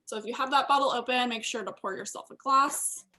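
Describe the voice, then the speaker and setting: A woman's voice: on the high side, brisk, pushed and bright. A woman hosting an online tasting event, presenting in a casual, conversational way. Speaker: host of a winery virtual tasting.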